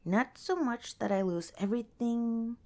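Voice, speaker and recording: Woman acting as a young scavenger girl in a wasteland asmr roleplay, soft mellow voice, unhurried, careful and kind. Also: on the high side. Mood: candid, relaxed. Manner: soft, hesitant, conversational, with sighs and small laughs. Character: kind, cautious young woman scavenger in a post-apocalyptic world. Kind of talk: ASMR roleplay, spoken to the listener.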